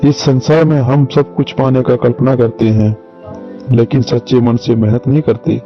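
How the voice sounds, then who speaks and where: A wise village holy man acted by a man preaches patiently in a mid-pitched voice. The cartoon sage sounds slightly dull and muffled, with faint music underneath. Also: steady tone, normal speed, lightly acted. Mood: wise, preachy. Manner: sermonizing, patient.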